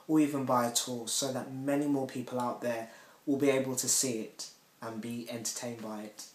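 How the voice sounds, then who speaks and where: A man giving an articulate, promotional interview as a member of a theatre company. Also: mid-range voice. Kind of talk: interview to camera about a production. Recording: echoey room, faint hiss.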